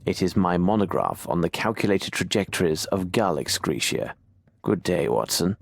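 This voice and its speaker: An aloof detective for a video game. His voice sits fairly low and moves with animated intonation. He speaks formally and commands everyone around him. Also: normal speed, vocal fry, dry recording, studio quality, full character voice. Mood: stern, dismissive. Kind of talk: video game cutscene acting.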